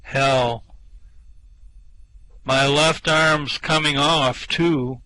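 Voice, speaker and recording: A man playing a bewildered, hapless space castaway in a comedy radio play, with drawn-out, bemused delivery. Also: on the high side, fairly even intonation, pushed and bright, full character voice, poor audio. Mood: bemused, dazed. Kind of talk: amateur radio comedy acting.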